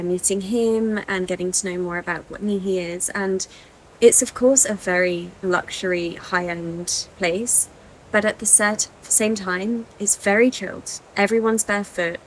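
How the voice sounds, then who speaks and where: A woman with an English accent, narrating a travel vlog in a calm, reflective way, recorded outdoors by the sea. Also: on the low side, slight background noise, rough recording. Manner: soft-spoken, calm and reflective. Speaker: vlogger.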